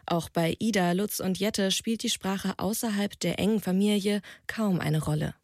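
A woman's voice: mid-range voice, normal speed, a little mellow, no echo, clean studio sound. A woman narrating a scripted radio report as a reporter.

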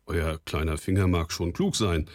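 A man's voice: low voice, moderate pace, darkish tone, dry recording, clean studio sound, lightly acted. Man voicing a crafty young farm lad. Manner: plain-spoken, feigning simplicity. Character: young male farmhand, honest but crafty. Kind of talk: audiobook character voice.

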